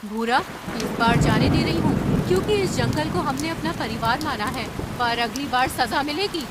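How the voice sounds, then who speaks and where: Woman voicing a shrill, dramatic cartoon animal female. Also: expressive, normal speed, heavy background noise. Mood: stern, commanding. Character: stern female queen ant and scolding animal women. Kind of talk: Hindi cartoon voice acting.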